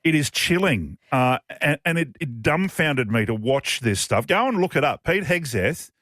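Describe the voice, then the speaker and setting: An Australian man co-hosting live talk radio, speaking emphatically and repeating himself in a halting flow. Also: mid-range voice, sing-song, fairly bright tone, no room sound, studio quality. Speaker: radio talk show co-host. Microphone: close studio radio microphone.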